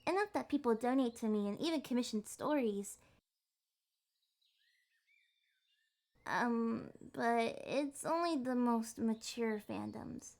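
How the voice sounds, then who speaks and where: A woman's voice: a little high, a little slow, creaky, a little mellow. A woman voicing an adorkable young kitsune in an ASMR roleplay, with a flustered, hesitant, primly formal way of talking. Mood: proud, bashful. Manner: flustered, hesitant, primly wordy, awkwardly insisting on maturity. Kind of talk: ASMR roleplay, acted one-sided date conversation.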